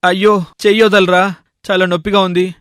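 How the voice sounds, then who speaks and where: A man's voice: sits high, flat delivery, moderate pace, a bit bright, clear, resonant tone, no echo, clean studio sound. A man voicing a fussy, food-loving bachelor shopkeeper in a story, bossy and given to complaining. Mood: angry, pained.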